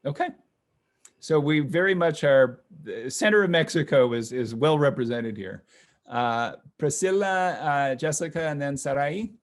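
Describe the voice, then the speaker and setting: A man hosting an online session, calling on participants one by one in a relaxed, casual way with many fillers. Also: fairly high pitch, a little slow. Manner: relaxed, friendly, lots of fillers. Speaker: host of online class session. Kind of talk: casual introductions on a video call. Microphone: video call.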